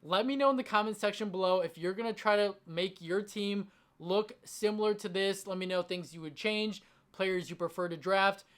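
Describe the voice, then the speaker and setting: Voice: mid-range voice, normal speed, fairly bright tone. A sports content host speaks straight to the audience in an emphatic, enthusiastic way. The voice is slightly strained from a long stretch of talking. The delivery is that of someone laying out fantasy sports advice with conviction. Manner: emphatic, enthusiastic about the picks. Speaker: fantasy football channel host.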